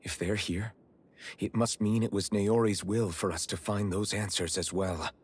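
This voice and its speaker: A man's voice: on the low side, steady tone, moderate pace, husky. A man playing an earnest, resolute young hero in a fantasy video game cutscene.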